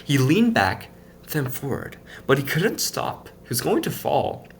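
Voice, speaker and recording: A man reading a children's story aloud with expressive, dramatic emphasis. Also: medium pitch, measured pace, slight background noise. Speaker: storybook reader.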